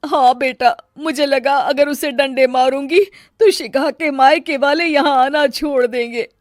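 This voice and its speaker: A woman playing a sharp-tongued, scheming older mother-in-law in a cartoon story, with grumbling, theatrical delivery. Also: high voice, fairly even intonation, a little slow, no echo, clean studio sound. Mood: remorseful. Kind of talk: Hindi cartoon story dialogue.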